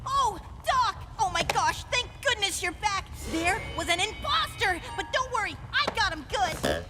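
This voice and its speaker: A performer voicing an excitable, dim-witted, childish male sidekick in a cartoon, gushing and naive. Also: very high-pitched, unhurried, full character voice. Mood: excited. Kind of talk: cartoon voice acting. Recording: loud music behind the voice.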